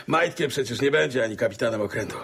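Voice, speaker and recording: Older rural squire, radio drama, folksy and rambling, swooping intonation, crackly husky voice, stammering tics. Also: male voice, mid-range voice, lively intonation, fast talker, fairly bright tone, heavily acted. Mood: chatty, proud. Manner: rambling, stammering, peppered with verbal tics and chuckles. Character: chatty, folksy older country squire, proud father and husband.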